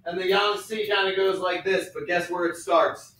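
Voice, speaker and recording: A man's voice: sits high, steady tone, normal speed, fairly bright tone. A man teaching a school class in an informal, instructive way, explaining and asking questions. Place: school classroom, distant mic, noise behind. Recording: noisy echoey classroom, far from mic.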